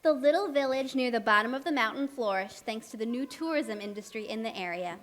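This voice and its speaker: A woman tells a story to young children at a live puppet show. Her high voice is expressive and rings through an echoey hall. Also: a little fast. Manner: expressive, addresses young children with simple questions.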